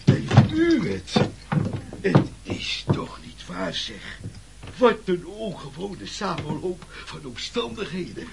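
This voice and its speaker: A man's voice: high-pitched, wildly swooping pitch, takes it slowly, vocal fry, full character voice. An actor playing a polite, chatty postman who stammers breathlessly and fussily in an absurd comic radio play. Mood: surprised, eager. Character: polite, flustered, chatty postman fished from the sea. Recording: noisy old radio recording.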